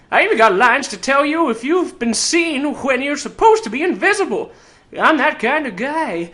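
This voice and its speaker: A man voicing a tiny, old, sarcastic treasure-hunting fantasy game creature with a weird character voice, cocky, teasing and folksy in his pitch as an announcer. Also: pushed and bright, full character voice. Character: tiny old sarcastic male keen-folk treasure hunter.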